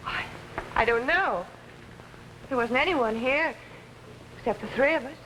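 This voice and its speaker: An actress playing an ambitious, nervous young pianist in an early 1950s TV mystery, halting and defensive. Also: female voice, sits high, a bit bright. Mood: flustered, defensive. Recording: reverberant, faintly noisy old 1950s TV soundtrack.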